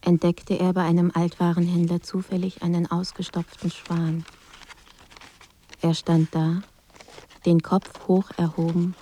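A woman narrating a literary radio drama in a calm, restrained and measured manner. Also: lowish voice. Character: restrained, somber female narrator of a writer's decline.

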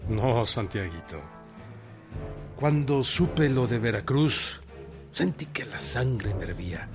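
An actor playing a resolute revolutionary general from rural Mexico in a radio drama, speaking solemnly and deliberately with a Mexican accent. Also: male voice, mid-range voice. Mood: grave, determined. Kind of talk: radio drama acting, proclamation and dialogue.

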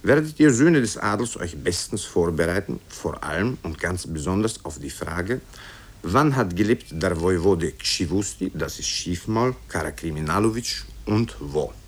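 A man's voice: deep voice, expressive, moderate pace, slightly rough. A man voicing a pompous, officious schoolteacher in a radio story, declaiming ornate, emphatic instructions to his noble pupils. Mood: pompous, commanding.

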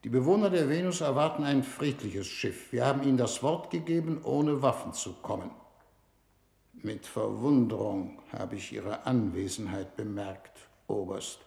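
An actor playing a shrewd foreign minister leading a space mission, speaking in a measured, formal way in a 1960s German-language radio drama. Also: male voice, mid-range voice, vocal fry, a little mellow, natural voice. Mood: probing, ironic. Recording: roomy 1960s radio studio recording.

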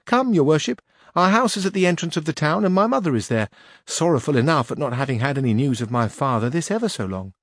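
An excited peasant girl of about fourteen, put on by a male reader. Her voice sits well above the reader's own and runs eager and breathless. The sound is dry and close. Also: quick pace, no room sound. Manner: eager and breathless, high-pitched.

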